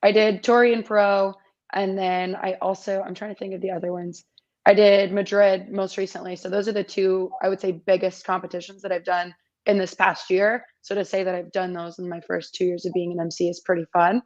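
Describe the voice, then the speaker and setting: A woman in her twenties with a slightly raspy voice, chatting upbeat as a guest in an interview over a video call. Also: mid-range voice, level tone, fairly quick, a little mellow, muffled recording. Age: 20s. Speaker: competition MC, guest. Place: small indoor room.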